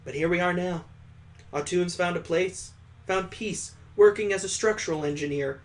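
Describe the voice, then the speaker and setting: Man doing a high-voiced, hearty old father-in-law. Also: moderate pace, natural voice. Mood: earnest, sentimental. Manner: hearty, earnest, joking. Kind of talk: visual novel character read aloud.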